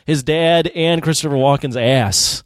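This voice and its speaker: A man's voice: a little high, measured pace, no echo, clean studio sound. A man co-hosting a film podcast in casual chat, acting out reactions and peppering his talk with "like". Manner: animatedly quotes imagined reactions, says 'like' often. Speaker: podcast co-host reviewing films.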